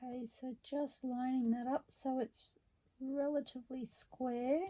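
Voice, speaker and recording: A woman talks through her crafting at a slow, easy pace. Her voice sits a little high and sounds mellow, with a croaky edge today. She chats casually, narrating each step as she works. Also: level tone. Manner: chatty and unhurried, talking through each step. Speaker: craft vlogger. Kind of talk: relaxed craft narration while working.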